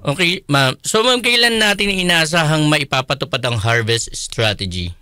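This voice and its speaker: A man's voice: fairly high pitch, lively intonation, normal speed, pushed and bright, clean tone, dry recording, studio quality. A man with a Filipino accent co-hosting a radio program conversationally, mixing Filipino with English. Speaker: radio co-host.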